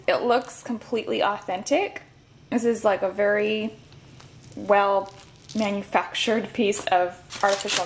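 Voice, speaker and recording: A woman giving a relaxed, chatty food review at her kitchen counter, with some fillers. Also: mid-range voice, noisy background. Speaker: food vlogger. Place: home kitchen counter, indoors.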